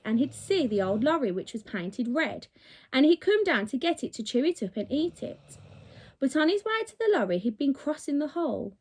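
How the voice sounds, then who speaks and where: A woman's voice: high voice, husky. A woman reading a children's story aloud like a teacher to her pupils, expressively and with dramatic emphasis on key words.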